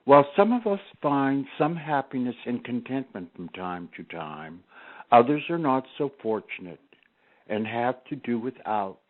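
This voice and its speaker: A man reading his own poem in a measured, reflective way, on a tinny, narrow-sounding recording. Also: medium pitch, very animated. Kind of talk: scripted poetry recitation.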